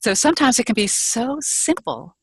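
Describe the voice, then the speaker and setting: A woman's voice: fairly high pitch, expressive, normal speed, breathy edge, no room sound. A woman sharing her thoughts in a rambling, reflective way on a group video call.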